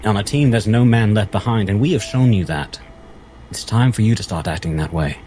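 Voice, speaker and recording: A man playing an earnest, firm crewman in a dramatic space roleplay, speaking deliberately. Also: fairly low pitch, warm tone, slight background noise, natural voice. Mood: firm, commanding. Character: earnest, firm male crewman lecturing a machine.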